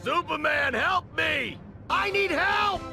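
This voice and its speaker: An actor playing a frantic citizen pleading for rescue in a video game, calling out urgently. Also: male voice, very high-pitched, lively intonation, takes it slowly, creaky, pushed and bright, shouting, exaggerated voice. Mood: panicked. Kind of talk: video game cutscene acting. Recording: music behind the voice.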